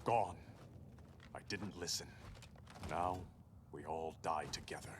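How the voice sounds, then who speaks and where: A man playing a proud undersea warrior king in a video game, speaking gravely and firmly. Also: deep voice, takes it slowly, noisy background. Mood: despairing. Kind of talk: video game cutscene acting.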